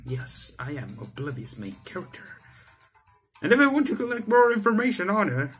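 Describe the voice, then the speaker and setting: A young man reads a visual novel out loud in a mid-range voice. His delivery is dramatic and he trips over the odd word. Light game music plays behind him. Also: mid-range voice, gravelly. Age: young adult. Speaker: gaming YouTuber reading a visual novel aloud. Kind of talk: animated read-aloud of visual novel text. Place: indoor room, on webcam.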